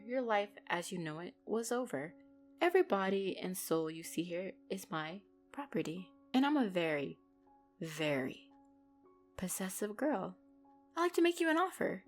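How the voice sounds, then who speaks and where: A woman's voice: mid-range voice, lightly acted. A woman playing a commanding, possessive female mafia boss in a dramatic audio roleplay, condescending and controlled, lacing mock sweetness with threats. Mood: cold, taunting, then possessive, sly.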